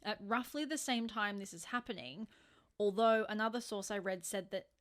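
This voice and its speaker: A woman's voice: mid-range voice, moderate pace. An Australian woman co-hosting a true crime podcast, telling the story in an informal, sweary, conversational way.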